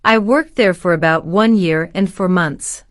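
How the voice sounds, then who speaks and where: A woman in her forties from Syria, giving polite, formal, measured answers as a citizenship applicant. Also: lowish voice, no room sound, clean studio sound. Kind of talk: scripted interview answers.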